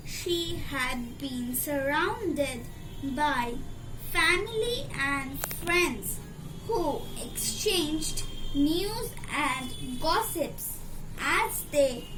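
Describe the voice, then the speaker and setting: Very slow, high-pitched female storybook reader. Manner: deliberate, phrase-by-phrase read-aloud delivery. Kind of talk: scripted storybook narration for children. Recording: clearly noisy amateur recording.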